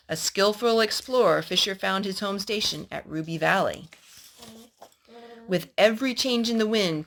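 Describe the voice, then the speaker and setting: A woman's voice: medium pitch, fairly bright tone. A woman storyteller reading a historical children's story aloud to a young listener.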